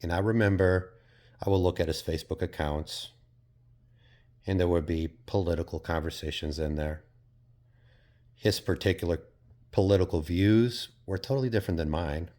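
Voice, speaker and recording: Deep voiced guy from portugal rambling into his camera, lots of ums, swears casually. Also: normal speed, pauses often, darkish tone. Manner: rambling, hesitant, frequent fillers and casual swearing. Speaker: vlogger. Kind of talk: casual reflective monologue.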